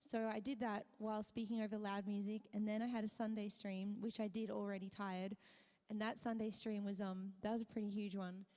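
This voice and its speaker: A woman streamer chatting casually with her viewers, her voice hoarse and tired, half whispering. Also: mid-range voice, little pitch movement, a little fast, mellow, long reverb tail. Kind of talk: casual live-stream chat.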